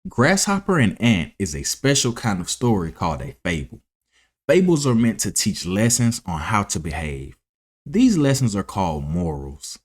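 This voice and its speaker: A man narrating a scripted children's story as a read-aloud, deliberate and expressive. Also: lowish voice. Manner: deliberate, expressive, enunciating for young listeners.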